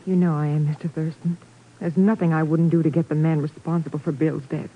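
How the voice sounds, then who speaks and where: An actress playing an earnest, grieving young widow, anxious but determined, in a 1950s radio drama. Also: female voice, sits low, little pitch movement, fairly quick. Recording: thin, narrow-band vintage radio recording.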